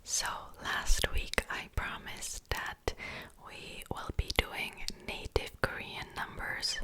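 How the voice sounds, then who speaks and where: A young woman with a Czech accent, whispering a slow, repetitive ASMR language lesson. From Czech Republic. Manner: soft whisper, slow and repetitive drilling of words. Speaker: ASMR creator teaching Korean.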